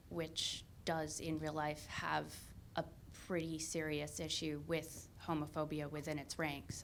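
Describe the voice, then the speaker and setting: A woman's voice: deep voice, gravelly, slight background noise. A woman in the audience asking a careful, earnest question.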